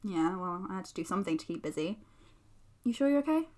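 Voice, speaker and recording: A woman's voice: fairly low pitch, animated, fast talker, darkish tone, no echo, close to an everyday voice. An actress playing a young woman podcaster who talks in a confiding, nervous, self-deprecating way. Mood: soft. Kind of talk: audio drama monologue.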